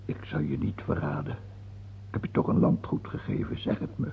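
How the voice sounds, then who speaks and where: A man playing a paranoid, capricious king in an old radio play, husky and creaky, repeating himself and swinging between wheedling and shouting. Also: fairly quick, slight background noise, natural voice. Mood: wheedling, secretive. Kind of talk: old radio drama acting. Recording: muffled, phone-like old radio recording.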